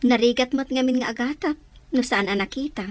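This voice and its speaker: A woman's voice: high-pitched, fairly quick, no room sound, lightly acted. A woman acting a concerned younger sister in a radio drama, earnest and urging.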